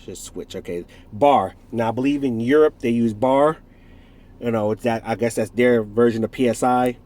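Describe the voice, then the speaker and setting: A man's voice: mid-range voice, normal speed, a little mellow. A man in his forties from the United States with a stuffed-up nose from a cold, casually reviewing a product in a rambling, self-correcting way with jokey asides. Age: 40s.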